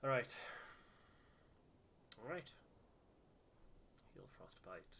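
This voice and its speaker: A man's voice: mid-range voice, big pitch swings, extremely slow, gravelly, a bit bright, a little hiss or hum. A man giving casual commentary as he plays a video game, thinking aloud and muttering through his choices. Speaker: gamer playing and commenting on a playthrough.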